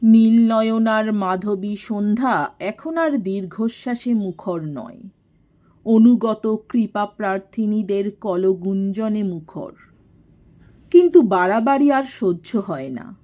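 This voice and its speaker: A woman reading a literary story in a measured, expressive storytelling manner. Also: medium pitch, muffled recording. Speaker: story narrator. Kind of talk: scripted literary audiobook narration.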